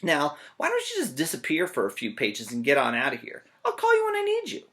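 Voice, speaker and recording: A man's voice: on the high side, huge pitch swings, a little fast, pushed and bright, exaggerated voice. A man voicing a bossy, frazzled young storybook narrator who is brash and exasperated, cutting in on others.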